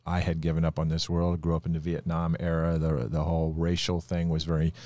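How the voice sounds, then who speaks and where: A man's voice: a bass voice, completely flat delivery, a little fast, dark tone. A man in casual conversation, earnestly telling his own story and acting out the dialogue in it. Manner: earnest testimony, acts out quoted dialogue.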